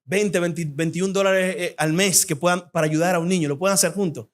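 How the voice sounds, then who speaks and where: Young Dominican man, high-pitched, fervent fast-talking guest preacher making an appeal in church. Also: fairly even intonation. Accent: Dominican Spanish. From Dominican Republic.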